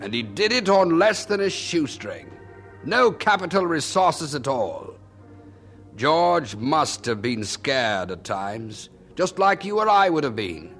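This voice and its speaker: A man's voice: fairly high pitch, sing-song, slow, a bit bright, faint music behind, exaggerated voice. An actor playing a candid, reflective man who narrates a radio drama in a wry, folksy first person. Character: wry, candid man recalling his exasperating old friend.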